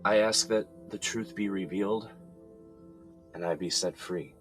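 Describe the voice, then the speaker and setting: An actor playing a grown son who is in jail and prays for help, in an earnest, halting way with sighs. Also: male voice, low voice. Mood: pleading. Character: adult son jailed, praying for release. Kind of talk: audio drama acting. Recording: music under the voice.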